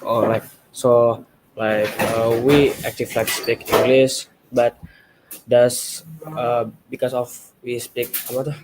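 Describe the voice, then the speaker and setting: Halting man, noisy line. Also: medium pitch, level tone. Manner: halting, searching for words.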